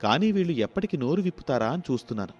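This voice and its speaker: A man's voice: a little high, big pitch swings, rapid-fire, darkish tone, highs cut off, faint music behind. A man voicing a caring, dutiful grown son with an earnest, pleading manner in a moral story. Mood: earnest concern.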